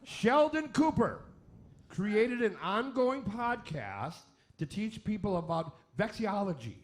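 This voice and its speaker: A man's voice: high-pitched, big pitch swings, slow. A man hosting a comedy radio quiz, reading out questions in an irreverent, jokey way with wisecracking asides. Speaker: quiz host of a comedy radio variety show. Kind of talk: comic game show hosting with joke asides.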